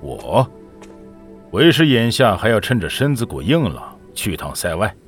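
A man playing a calm, hearty ageing master painter in a Chinese period audio drama. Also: lowish voice, unhurried. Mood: composed. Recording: music behind the voice.

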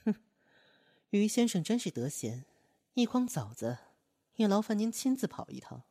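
An actress playing a proud, aloof opera performer and strict master in a radio drama, with a cold, curt, haughty way of talking. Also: female voice, mid-range voice, sing-song, normal speed, mellow, dry recording, studio quality.

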